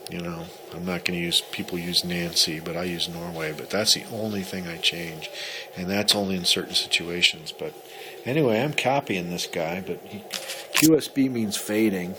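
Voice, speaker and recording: A man's voice: deep voice, moderate pace. A man chatting casually as an amateur radio operator, explaining things and rambling as he thinks aloud. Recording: clearly noisy recording with ambient sound.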